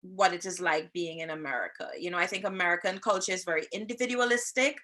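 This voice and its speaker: A woman from Trinidad with a Trinidadian accent, co-hosting a casual chat with her husband. She tells stories with laughs and slows down to explain things. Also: on the low side, bright, forceful tone. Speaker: co-host, married couple's channel.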